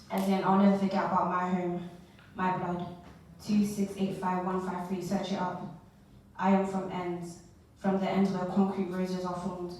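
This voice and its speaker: A young woman performing spoken word poetry on stage, with a rhythmic, measured delivery and repeated refrains. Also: on the low side, a little mellow. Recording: echoey hall, voice from far off, faint noise.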